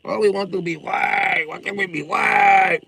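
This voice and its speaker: A man putting on a high, whining, pleading voice to mock a group of people. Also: fairly even intonation, unhurried, vocal fry, bright, forceful tone, breathy edge, dry recording, far from the mic, exaggerated voice. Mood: whiny. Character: whiny mocking caricature of a group of people.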